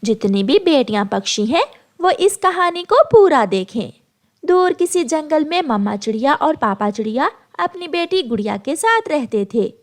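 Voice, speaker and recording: Children's story narrator: woman, high register, highly animated scripted delivery. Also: normal speed.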